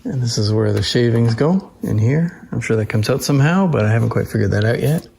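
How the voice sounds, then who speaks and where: A man's voice: medium pitch, expressive, fairly quick, darkish tone. A man giving an informal hands-on demonstration as a presenter, explaining things as he goes. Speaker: presenter showing antique phonograph machines. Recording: roomy echo, clear background noise.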